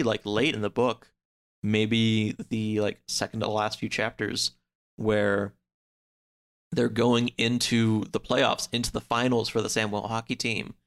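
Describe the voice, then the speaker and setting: Low-voiced, creaky man chatting casually. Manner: rambling, peppered with "like"; acts out characters' lines in quotes. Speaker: podcast guest. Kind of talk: casual chat, retelling a story.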